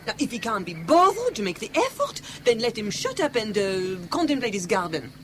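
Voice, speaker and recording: An actress in a vintage British radio drama playing a brisk, blunt, caring hostess wife. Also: female voice, on the high side, sing-song, fairly bright tone. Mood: indignant. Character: sharp-tongued, motherly hostess wife, no-nonsense. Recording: faintly hissy vintage radio drama recording.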